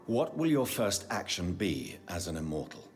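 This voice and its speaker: An actor playing a grave, courteous vampire doctor in a video game, speaking formally with a British accent. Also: male voice, low voice, very animated. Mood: formal, probing. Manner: formal, measured, brooding. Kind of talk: video game dialogue acting.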